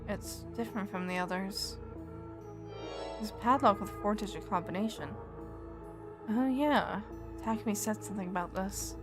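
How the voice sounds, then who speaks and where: A woman from Scotland with a mixed Scottish and Canadian accent, chatting casually while streaming a game and laughing easily. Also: medium pitch. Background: game music.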